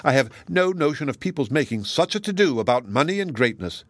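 A man's voice: fairly high pitch, sing-song, a little fast, no room sound, clean studio sound. A man voicing a chatty, good-hearted older matron in an audiobook, gossiping in a rambling, exclamatory and indignant way. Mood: indignant, eager. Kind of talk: audiobook character dialogue.

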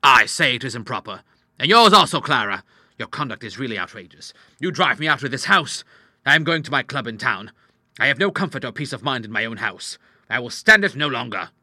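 An indignant, pompous father of middle age , acted out by a male audiobook reader. He speaks formally and emphatically, with a bright, forceful edge and a very lively pitch. The sound is close and dry. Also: brisk, dry recording, exaggerated voice. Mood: angry, outraged. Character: indignant, blustering middle-aged gentleman doctor and father. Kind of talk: audiobook character acting.

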